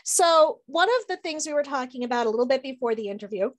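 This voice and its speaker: A middle-aged woman with a high-pitched voice hosts a relaxed interview over a video call. Her way of talking is informal and enthusiastic, chatting from a room at home. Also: quick pace. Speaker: interviewer, host. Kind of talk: casual interview chat. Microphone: video call computer microphone.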